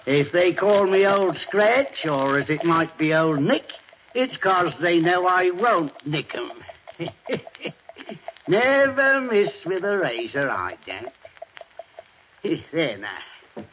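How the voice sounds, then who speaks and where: An actor playing a little old Cockney barber, chatty and deferential, in a 1940s radio drama. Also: male voice, on the high side, very animated, slow, long pauses. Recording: dull old radio recording with faint hiss.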